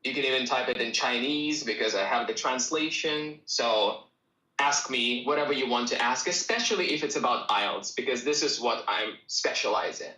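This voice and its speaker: A man whose first language is Russian, an English teacher answering students' questions in a relaxed, encouraging live-stream Q&A. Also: mid-range voice, normal speed, reverberant, poor audio. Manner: relaxed, encouraging, talks straight to viewers.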